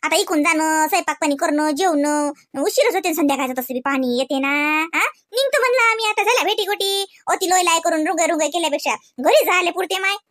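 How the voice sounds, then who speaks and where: A woman's voice: very high voice, fairly bright tone, no room sound. A woman voicing a talkative village aunt who is in a hurry to leave, speaking. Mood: boastful. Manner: boastful, talkative, drawn-out village speech.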